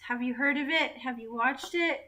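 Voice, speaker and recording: A woman's voice: high-pitched, normal speed, sharp tone. An American woman in her thirties, casually chatting on a livestream and reading out viewer comments with a playful, laughing manner. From United States. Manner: playful, laughs often, reads comments aloud. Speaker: vlogger reading live chat. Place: living room indoors.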